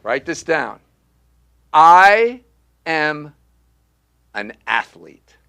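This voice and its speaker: Acting coach, male, a bit high-pitched, emphatic and repetitive, teaching a class in a studio. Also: very animated, very slow, sharp tone, smooth. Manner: emphatic, repetitive, punchy call-and-response teaching.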